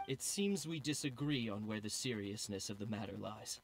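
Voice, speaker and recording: A man voicing a polite, formal government official in a game cutscene, measured and courteous. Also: fairly low pitch, lively intonation, low-quality recording.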